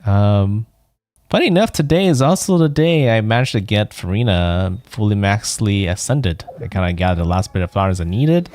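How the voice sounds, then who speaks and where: A man's voice: on the low side, expressive, moderate pace, darkish tone, faint music behind. A man livestreaming a video game, chatting casually and thinking aloud in a rambling way. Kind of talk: casual chat while playing a game.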